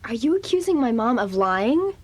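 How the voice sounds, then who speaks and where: A guarded young girl in a children's audio drama. She has a high voice and answers defensively, as a preteen with something to hide would. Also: sing-song, moderate pace, breathy edge. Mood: offended.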